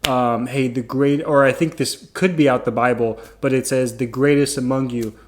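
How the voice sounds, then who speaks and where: A man's voice: medium pitch, steady tone, normal speed. A young man hosting a podcast, friendly and enthusiastic, often stammering and restarting his sentences. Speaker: podcast host. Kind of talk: casual interview chat.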